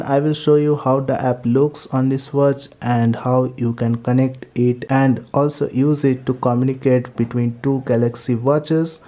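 A man's voice: mid-range voice, mellow. A man narrating a step-by-step tech tutorial in a methodical, repetitive way.

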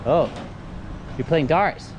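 A man's voice: on the high side, slow, highs cut off. A man vlogging as he walks outdoors, chatty and upbeat, greeting people as he goes. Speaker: travel vlogger. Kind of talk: casual walking vlog narration. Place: outdoors on a busy street. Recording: street traffic noise behind.

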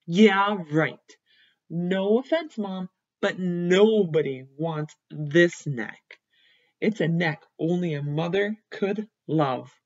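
A woman reading as a self-conscious male giraffe who complains gloomily about his long neck, with a slightly husky voice. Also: fairly low pitch, slow, a little mellow, muffled recording. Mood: glum.

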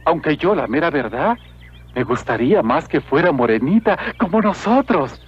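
High-voiced humble Mexican peasant. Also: male voice, big pitch swings, moderate pace, slightly rough. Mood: cheerful, earnest. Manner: simple, earnest, deferential. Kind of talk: animated film voice acting. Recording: dull lo-fi old film soundtrack.

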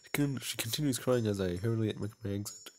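A man's voice: lowish voice, fast, darkish tone. A man hosting a video game playthrough, reading visual novel text and adding casual, self-mocking asides. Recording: music behind the voice. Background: game music.

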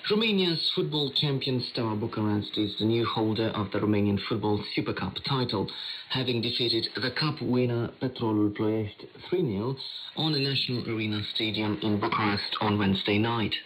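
A man's voice: fairly low pitch, normal speed, a bit bright. A man reading a scripted sports news bulletin as a radio presenter, heard over shortwave. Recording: noisy shortwave radio reception. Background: radio static and interference.

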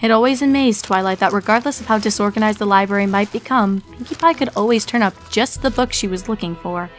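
Female story narrator with soft music behind. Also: medium pitch, fast.

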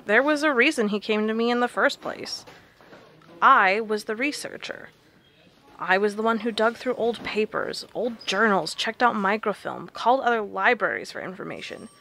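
Woman acting fed up researcher, firm bright voice, no nonsense, audio drama. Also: mid-range voice, animated, moderate pace, gravelly, slight background noise. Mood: firm, defiant. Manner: blunt, firm. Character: blunt, stubborn woman researcher, fed up and resolute.

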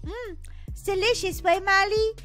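A woman's voice: extremely high pitch, steady tone, moderate pace, sharp tone, soft background music. A woman voicing a sassy, sceptical little girl with a childlike, chiding manner in a gaming video. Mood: cheerful.

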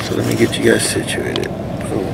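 A man's voice: lowish voice, very animated, normal speed, gravelly. A man hosting a livestream, chatting casually and in slang to his viewers as he covers police activity. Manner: slangy, addressing viewers as guys. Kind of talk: casual live commentary to viewers. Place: outdoors at night roadside, then in a car. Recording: noisy outdoor street and car ambience.

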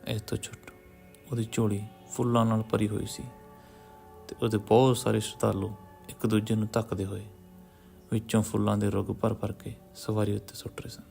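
A man narrates a literary story in a measured, solemn way, pausing between short phrases. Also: fairly low pitch, little pitch movement, vocal fry, dark tone. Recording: music behind the voice. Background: background music.

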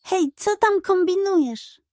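Woman acting a gruff cowboy sheriff, wary, exclaiming, pitched up, storybook western. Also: expressive, slow pace, a little mellow, dry recording, studio quality, exaggerated voice. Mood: suspicious. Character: gruff, suspicious male sheriff of a Wild West town.

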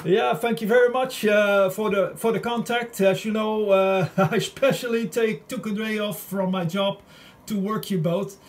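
High-pitched man chatting on ham radio, halting. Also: fairly even intonation, slight background noise. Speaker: amateur radio operator. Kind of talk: casual radio contact chat.

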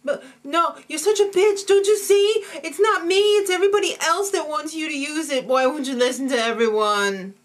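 A woman playing a whiny, bullying male reality show contestant in a comic skit, pleading in an overwrought, drawn-out way. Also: high-pitched, voice pushed up, natural voice. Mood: tearful fury.

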